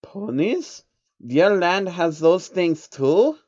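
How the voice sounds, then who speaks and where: A man's voice: high voice, lively intonation, very slow, darkish tone, dull audio, pitched above the performer's own voice, exaggerated voice. A man voicing a menacing older male banker villain in a fan storybook reading, speaking with venom and threats. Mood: sinister.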